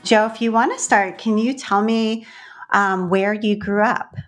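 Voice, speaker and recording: A woman hosting a podcast, reading an introduction and asking the guest clear questions. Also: medium pitch, steady tone, measured pace, soft background music. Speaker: podcast host. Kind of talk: scripted intro then interview questions.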